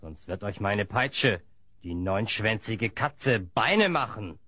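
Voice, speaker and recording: A man's voice: lowish voice, expressive, slow pace, sharp tone, slight background noise, heavily acted. A man playing a menacing, gloating bandit chief and slave trader with a mocking drawl in a fantasy audio drama.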